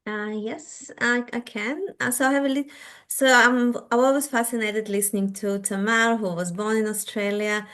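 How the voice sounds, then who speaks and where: A woman's voice: on the high side, steady tone, moderate pace, fairly bright tone. A middle-aged woman from Israel, born in Russia, with an Israeli accent, speaking thoughtfully as a guest in a panel discussion and often restarting her sentences. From born in Russia, raised in Israel.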